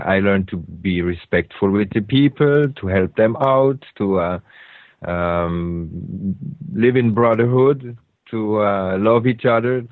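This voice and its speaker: A lower-voiced man with a Swiss German accent hosts a radio show, speaking English as a second language in a loose, unscripted monologue. He stops often to search for words and slips on grammar as he goes. His voice comes through a dry, tinny, phone-like line. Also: dry recording. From Switzerland. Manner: halting, with frequent hesitations and non-native grammar.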